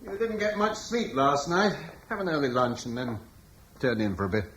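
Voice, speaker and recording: A middle-aged male commander, voiced by a man in a radio play. He speaks with calm, reassuring authority, and his voice moves up and down freely. A faint hiss sits under the old recording. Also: medium pitch, normal speed, close to an everyday voice, poor audio. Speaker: husband and local guard commander. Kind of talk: radio drama acting.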